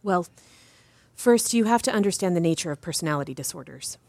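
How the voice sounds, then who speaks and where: A woman acting as an assured advocate interviewed in an audio drama, explaining things in a measured, didactic way. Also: on the low side, lively intonation, gravelly, darkish tone, no echo. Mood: composed, instructive. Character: composed, assured female advocate explaining justice reform. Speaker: interview guest.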